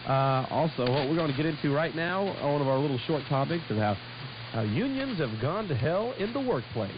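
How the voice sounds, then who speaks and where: A man's voice: fairly high pitch, lively intonation, a little fast, muffled recording, background noise. A man co-hosting a casual radio talk show, rambling and stumbling over his words, on a shortwave broadcast. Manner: rambling, hesitant with frequent stumbles.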